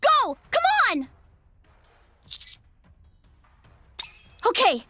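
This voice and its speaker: A woman's voice: extremely high pitch, sing-song, measured pace, sharp tone, no room sound, soft background music, heavily acted. A woman voicing a feisty, irritable young female android soldier in an anime game, brash and exasperated. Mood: urgent, forceful.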